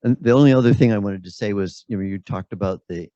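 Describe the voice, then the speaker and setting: A man commenting at a symposium, speaking off the cuff in a halting way as he thinks aloud. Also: on the low side, mellow, dry recording. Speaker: birth center operator, symposium participant. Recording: dull lo-fi audio.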